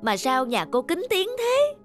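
A woman's voice: extremely high pitch, huge pitch swings, unhurried, faint music behind, exaggerated voice. A woman voicing a meddling older village gossip in a cartoon, insinuating and full of exclamations. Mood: prying, gossiping. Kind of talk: cartoon dubbing.

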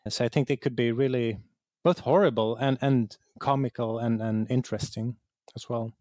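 A man's voice: mid-range voice, a little mellow, dry recording. A man with a Swedish accent explaining things conversationally as an interview guest, repeating words as he searches for phrasing. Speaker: interview guest, game writer.

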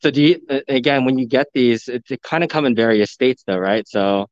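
A man livestreaming a walkthrough of a pinball showroom, excitedly talking to his viewers, recorded on a phone indoors. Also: mid-range voice, fairly quick, no room sound, dull audio. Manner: excited, enthusiastic, addressing viewers. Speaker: vlogger, live streamer. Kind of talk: live walkthrough commentary. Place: large indoor arcade showroom. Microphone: phone camera.

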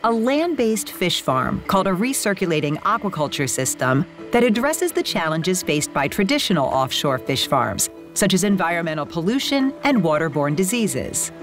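Woman, documentary voice-over, low-ish voice, animated delivery, music underneath. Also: moderate pace, few pauses. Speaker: narrator. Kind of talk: scripted documentary narration.

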